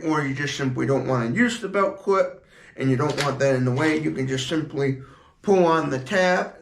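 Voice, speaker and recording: A man giving a casual product demonstration in a halting, repetitive way, explaining as he goes. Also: a little high, fairly bright tone. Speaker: product reviewer. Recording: echoey room.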